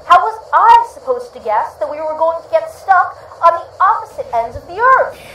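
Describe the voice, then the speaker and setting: A woman's voice: very high voice, very animated, normal speed, sharp tone, full character voice, poor audio. A woman playing an entitled, impractical rich spouse in a radio play, blustering and petulant, stammering when flustered. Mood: flustered, defiant. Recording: clear background vehicle ambience noise.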